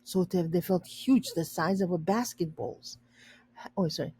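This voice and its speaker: A woman teacher reading a storybook aloud, pausing to explain and rephrase words simply for learners. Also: on the low side, warm tone, breathy edge.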